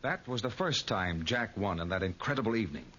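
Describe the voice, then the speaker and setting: A man's voice: on the low side, expressive, a little fast, fairly bright tone. Skeptical American male friend and narrator, dry-witted, vintage radio drama, noisy dull sound. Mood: tense narration. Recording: muffled old radio recording, clear noise.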